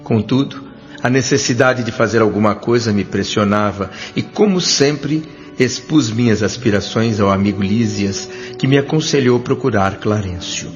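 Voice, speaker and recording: A man's voice: on the low side, lightly acted. An actor with a Brazilian accent playing an earnest, humble male doctor in an audio drama, speaking formally and politely. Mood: reflective, earnest. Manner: formal, polite, measured diction. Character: André, earnest humble male doctor spirit, newly arrived. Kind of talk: audio drama narration and dialogue. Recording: dull lo-fi audio with music bed.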